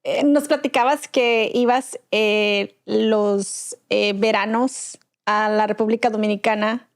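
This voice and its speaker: A woman's voice: medium pitch, steady tone, slow pace. A woman hosting an interview, asking casual questions with frequent backchannel murmurs. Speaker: interviewer, host.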